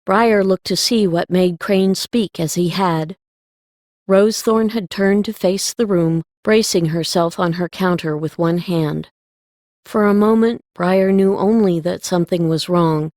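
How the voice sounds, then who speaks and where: Low-voiced woman narrating an audiobook. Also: steady tone, unhurried, darkish tone, no room sound, studio quality. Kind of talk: scripted story narration.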